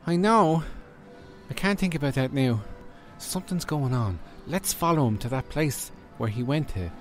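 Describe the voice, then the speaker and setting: A man's voice: a little high, animated, normal speed, soft background music, lightly acted. A man playing an earnest, easily confused woman sidekick in a comedy radio serial. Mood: flustered. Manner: earnest, flustered.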